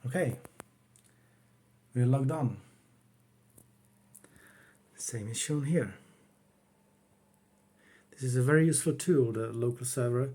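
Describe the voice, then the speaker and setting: Czech-accented man with a mellow voice. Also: mid-range voice, slightly rough. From Czech Republic. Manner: unhurried, plain, talks while working the computer. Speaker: retro computing hobbyist. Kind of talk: casual home demo walkthrough. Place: cluttered home workroom.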